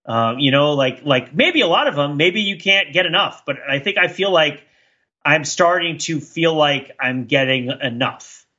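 A man with a bright, slightly high voice talks casually as a podcast co-host. He rambles reflectively, repeating words as he works out his thought. The recording is a little dull and lo-fi. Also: expressive. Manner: rambling, halting, repeats words while thinking aloud.

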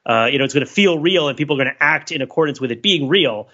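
Male podcast panelist, bright forceful voice, a little high, discursive and analytical, slightly muffled lo-fi track. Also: expressive, fast. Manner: discursive, intellectual phrasing with frequent rhetorical check-ins.

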